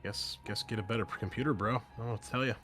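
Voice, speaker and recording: A man's voice: fairly low pitch, racing. A man narrating a tabletop game as game master in a casual, conversational, descriptive way. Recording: music playing underneath. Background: music.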